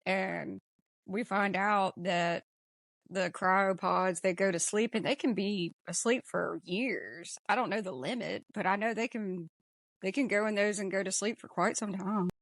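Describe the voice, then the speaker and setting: Woman, podcast host, mid-range pitch, casual discussion, dry close recording. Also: unhurried, no echo.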